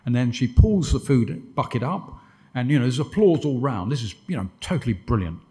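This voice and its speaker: A man's voice: medium pitch, lively intonation, a little fast, dark tone. A man answering questions after his lecture, discursive, with stumbling restarts and dry wit. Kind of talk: conference Q&A answers.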